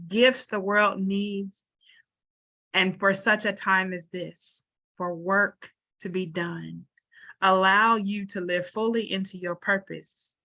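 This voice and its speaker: A woman's voice: fairly low pitch, bright, forceful tone. A woman moderator closing a panel with thanks and a solemn spoken blessing.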